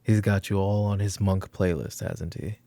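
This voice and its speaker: A man playing a weary, short-tempered mafia boss father in a roleplay audio drama, with a gravelly, husky voice and a commanding, curt manner. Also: sits low, fairly even intonation, moderate pace, no echo. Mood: stern, weary. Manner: commanding, curt, slipping into hushed muttering. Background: background music.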